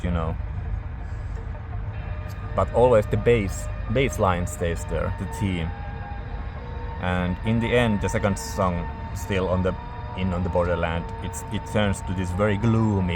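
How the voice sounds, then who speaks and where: Male reviewer, low pitch, hesitant word-searching delivery, casual tone, music audible underneath. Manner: halting, searching for words.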